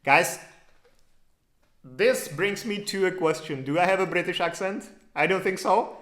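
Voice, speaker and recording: Chatty Czech man, slightly high voice. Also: unhurried, fairly bright tone. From Czech Republic. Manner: loose and chatty, many fillers and restarts. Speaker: host answering live questions. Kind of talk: casual live-stream Q&A. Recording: roomy echo.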